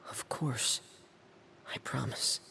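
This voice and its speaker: A man voicing a quiet, reserved and serious young man in a video game, subdued and hesitant. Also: slow, whispered. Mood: quiet, solemn.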